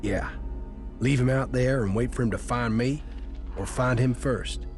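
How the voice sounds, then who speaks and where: Man acting a weary-minded ex-gang outlaw, medium male pitch, wary, rueful, music underneath. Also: unhurried, darkish tone, close to an everyday voice. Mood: troubled, wary. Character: wary former gang outlaw, troubled and fatalistic. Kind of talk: video game character dialogue.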